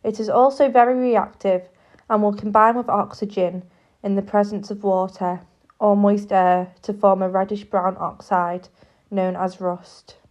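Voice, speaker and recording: A woman's voice: medium pitch, little pitch movement, a little mellow. Woman narrating, dull lo-fi recording. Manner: measured, formal, explanatory. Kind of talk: scripted educational narration, public information film.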